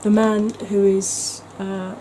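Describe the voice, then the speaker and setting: A woman's voice: medium pitch, flat delivery, slow, warm tone, a little hiss or hum, low-quality recording. A woman talking in a chatty, gushing way as a beauty vlogger, with frequent ums. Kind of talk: casual vlog chat.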